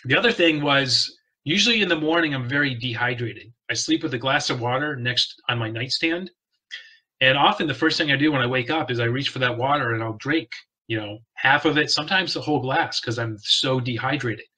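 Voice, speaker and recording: Bright-voiced man giving casual health tips. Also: mid-range voice, a little fast, breathy edge, low-quality recording. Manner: earnest, repeats words, frequent restarts. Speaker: wellness vlogger. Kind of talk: casual to-camera health talk.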